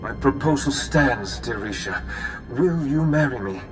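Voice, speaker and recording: Game casting: actor as earnest male count and rebel leader, mid-pitched, formal, music underneath. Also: expressive, unhurried, natural voice, poor audio. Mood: solemn, hopeful. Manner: formal, solemn. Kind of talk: video game cutscene acting.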